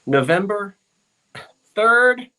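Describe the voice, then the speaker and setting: A man's voice: sits high, very animated, extremely slow, pushed and bright, low-quality recording. Chatty rambling man hosting a live stream. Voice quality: frequent fillers and self-corrections. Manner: chatty, rambling, reads out viewer comments. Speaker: live-stream auction host. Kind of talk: casual live-stream chat.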